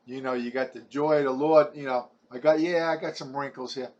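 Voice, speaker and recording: A man's voice: medium pitch, steady tone, darkish tone, lo-fi sound. A man in his seventies from Brooklyn with a New York accent, talking to camera in a rambling personal testimony, often repeating his words. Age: 70s. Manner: halting, repeats words, chatty and preachy.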